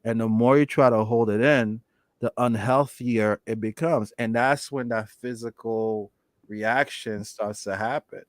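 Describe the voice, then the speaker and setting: A man's voice: medium pitch, steady tone, measured pace, dry recording. A man hosting a live podcast, talking earnestly and with emphasis about trauma to his guest and his viewers. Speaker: podcast host.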